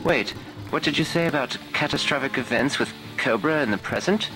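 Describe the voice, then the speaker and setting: A man's voice: mid-range voice, fairly quick, music in the background, close to an everyday voice, rough recording. A man playing an earnest military hero in an amateur audio drama, declaiming in a stilted way. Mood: pondering, earnest. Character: earnest military hero puzzling over parallel worlds.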